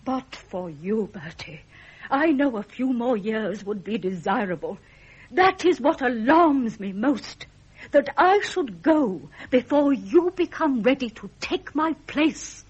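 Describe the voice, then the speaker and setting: A woman's voice: fairly high pitch, animated, slow, slightly rough, dull audio, full character voice. An actress playing an imperious, grieving elderly queen mother with an English accent, in a vintage British radio drama. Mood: aggrieved, mournful. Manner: regal, stern, formal, dramatic.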